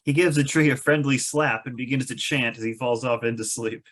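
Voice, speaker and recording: A man's voice: medium pitch, steady tone, a little fast, fairly bright tone. A man reading stage directions as the narrator of a scripted table read.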